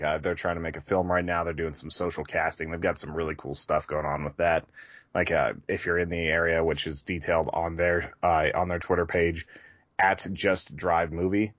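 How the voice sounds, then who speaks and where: A man's voice: low voice, no echo. A man chatting casually and enthusiastically as a podcast co-host.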